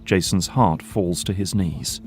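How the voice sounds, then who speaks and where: A man's voice: deep voice, a little slow, a little mellow. A man narrating an audio drama in scripted, present-tense storytelling style. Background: background music.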